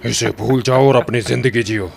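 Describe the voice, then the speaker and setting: A man playing a grim gravelly warrior god. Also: mid-range voice, steady tone, normal speed, a little mellow, slight background noise, close to an everyday voice. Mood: warning. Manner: grim, terse, commanding. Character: stern, weary male warrior god, a father. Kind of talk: dubbed game cutscene acting.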